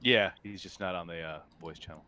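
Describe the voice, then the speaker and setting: A man acting as game master, describing scenes in a halting, improvised way during a tabletop roleplaying game. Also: sits low, pushed and bright.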